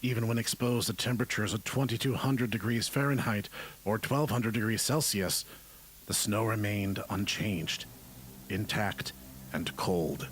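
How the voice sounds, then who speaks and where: A man playing a detached researcher, narrating grim lab findings as a formal, measured horror report. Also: fairly low pitch, fairly bright tone, a little hiss or hum, low-quality recording. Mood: clinical, detached. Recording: voice-over under clear background music. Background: music.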